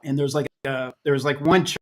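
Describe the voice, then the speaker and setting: A man's voice: medium pitch, fairly even intonation, brisk. An American man hosting an interview, rambling casually with restarts and fillers. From United States. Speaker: host.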